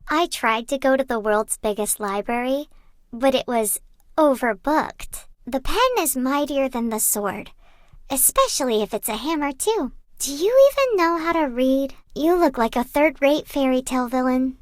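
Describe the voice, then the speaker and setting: A woman playing a gentle, bookish young librarian in a video game, wistful and earnest, like someone telling stories. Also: high voice, animated, moderate pace, no echo, clean studio sound. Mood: playful storytelling. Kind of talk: video game character voice lines.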